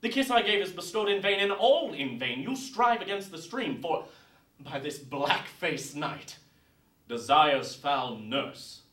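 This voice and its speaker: A man playing a bashful but disdainful young man in a deliberate, emphatic recital of Shakespeare verse. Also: on the high side, sing-song, fairly bright tone, a little hiss or hum. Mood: scornful, firm. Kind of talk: theatrical Shakespeare verse monologue. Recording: roomy echo, distant mic.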